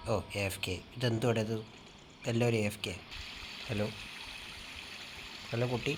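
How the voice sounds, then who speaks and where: A man bantering casually as he live-streams a role-play game, teasing and confrontational, often repeating words in quick bursts. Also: mid-range voice, fairly even intonation, noisy background.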